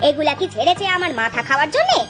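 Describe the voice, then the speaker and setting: A woman voicing a stern, harried village mother in a children's cartoon, brisk and scolding. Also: high voice, huge pitch swings. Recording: music behind the voice.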